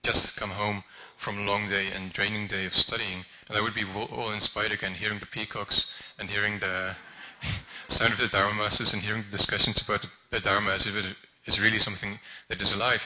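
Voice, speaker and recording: A young man, his tone bright and edgy. He sits a touch low in pitch and talks haltingly, full of ums and self-corrections, as though thinking aloud in front of a room. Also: little pitch movement, a little hiss or hum, poor audio. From Netherlands. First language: Dutch. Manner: hesitant, many ums and restarts. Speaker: volunteer translator speaking at an event. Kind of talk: informal public talk.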